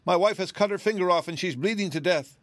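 Male narrator doing a panicky storybook townsman, high strained pitch, wild and miserable. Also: slow, a bit bright, no room sound, clean studio sound. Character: frantic, nervous townsman crying out in alarm.

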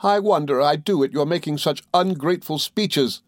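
A man's voice: a little high, expressive, moderate pace, darkish tone, no room sound, clean studio sound, voice pushed up, lightly acted. A man voicing a childish, tearful young wife in an audiobook, piteous, pleading and pouting. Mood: sobbing reproach. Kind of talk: audiobook character dialogue.

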